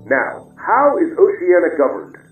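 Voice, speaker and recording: Man acting a high, echoing, menacing state telescreen voice. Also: sing-song, measured pace, narrow, tinny audio. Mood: barking, commanding. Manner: barking, stern, interrogating. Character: menacing, commanding telescreen voice of the Thought Police. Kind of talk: radio drama acting. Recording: echoey distant radio-like sound, music underneath.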